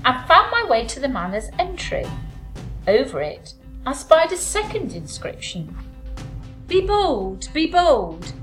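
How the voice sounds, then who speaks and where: A woman playing a bold young gentlewoman in a folk tale, speaking in formal, theatrical, old-fashioned diction. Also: high voice, big pitch swings, unhurried, faint music behind, full character voice. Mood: pointed, suspenseful. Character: bold young gentlewoman bride recounting a sinister dream.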